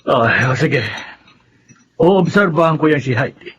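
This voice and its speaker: A man's voice: on the high side, lively intonation, slow, husky, heavily acted. A man playing a fair-minded, middle-aged father in a Filipino melodrama, musing and questioning. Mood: musing, concerned. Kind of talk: Filipino radio-style melodrama acting. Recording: dull lo-fi audio, loud noise, clicks.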